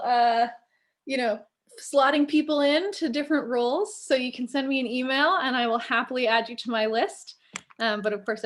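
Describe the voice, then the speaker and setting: A woman hosting an online community event with an informal welcome, speaking in a somewhat halting way. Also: mid-range voice. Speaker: host, assistant library director.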